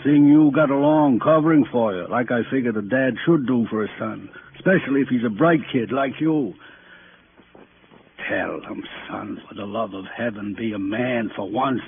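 A man's voice: mid-range voice, moderate pace, natural voice. An American actor playing a weary, guilt-ridden aging father who speaks plainly and ruminatively in a 1950s radio drama. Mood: bitter, pleading. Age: middle-aged or older. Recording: tinny, noisy old radio broadcast.

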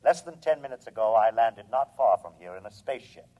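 An actor playing an arrogant humanoid robot from another planet in a 1950s radio drama, speaking formally and precisely with condescension. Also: male voice, fairly low pitch, animated, fairly quick, lightly acted. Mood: matter-of-fact. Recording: dull vintage radio recording.

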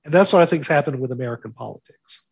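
Male interview guest, slightly high and rough-edged, emphatic explainer of big ideas, close dry lo-fi sound. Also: lively intonation, fast talker, dry recording. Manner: explains with analogies and rhetorical questions. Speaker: interview guest, market and politics commentator.

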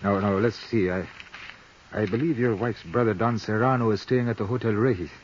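An actor playing a blunt, harried inspector who speaks haltingly in a husky voice, in 1950s radio drama. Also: male voice, on the low side, steady tone. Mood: brisk. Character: gruff, harried male airline crash investigating official. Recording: muffled 1950s radio recording.

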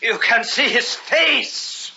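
A man's voice: very high-pitched, lively intonation, slow, gravelly, pushed and bright, muffled recording, slight background noise, exaggerated voice. A man acting an intense, dramatic character in an old-time radio horror tale, theatrical and portentous, on an old radio recording. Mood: grim horror.

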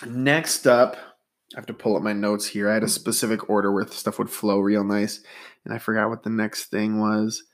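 A man's voice: on the low side, level tone, normal speed. A man hosting a solo sports podcast, talking in a rambling, conversational way with a stuffy nose. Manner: conversational, rambling, data-minded. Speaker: podcast host.

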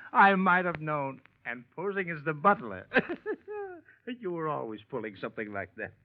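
An actor playing an eager, scheming young talent manager, hesitant at first and then persuasive, in 1940s radio acting. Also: male voice, on the high side, lively intonation, brisk, dry recording. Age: young adult. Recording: dull, dry old radio recording.

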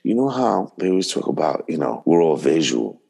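A man's voice: rumbling low, big pitch swings, fairly quick, creaky, a little mellow, breathy edge. A man co-hosting a podcast in a laid-back casual chat, with a soothing voice and frequent laughs. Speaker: podcast co-host.

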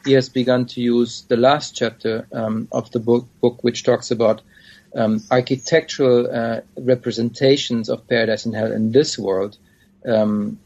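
A man, a scholar being interviewed, answering in a hesitant, thoughtful way with frequent pauses. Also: mid-range voice, fairly even intonation, a little mellow. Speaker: scholar of Islamic studies, guest. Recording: dull lo-fi audio.

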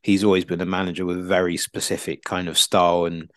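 A man with a fairly low voice co-hosts a football podcast in a casual style. He thinks aloud, tentative and full of qualifications, in a very dry recording. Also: flat delivery, fast, no echo. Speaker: podcast co-host.

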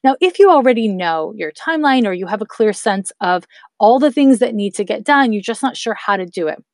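A woman's voice: medium pitch, animated, brisk, darkish tone, dry recording. A woman in her thirties hosting a podcast, giving casual spiritual advice and encouraging her listeners, whom she calls her loves. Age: 30s. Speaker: podcast host. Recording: dry close recording.

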